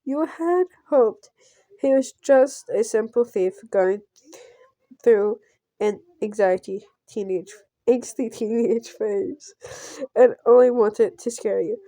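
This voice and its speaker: A woman's voice: a little high, takes it slowly, dark tone, no room sound. A woman reading a visual novel aloud as a casual playthrough, stumbling over and repeating words as she goes. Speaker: game player narrator.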